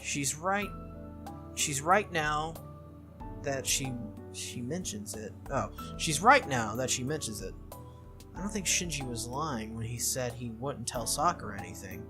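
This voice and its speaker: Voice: medium pitch, animated, a little slow, over music. A game playthrough host reading visual novel text aloud expressively, sometimes stumbling and rereading lines.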